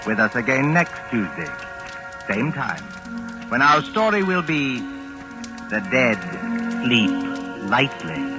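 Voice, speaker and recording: A man narrating a 1940s radio suspense show in a measured, deliberate, dramatic manner. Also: mid-range voice, big pitch swings. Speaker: narrator and announcer. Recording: vintage radio broadcast with loud music. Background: music.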